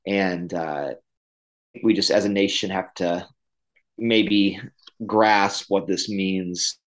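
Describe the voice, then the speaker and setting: A man with a fairly low voice, a guest on a podcast who grew up in the Midwest. He talks loosely, with plenty of "um" and "like," speeding up and then slowing down as he thinks. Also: steady tone, rough recording. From Midwest, Illinois. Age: millennial. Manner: conversational, many fillers, uneven pace. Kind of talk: podcast interview.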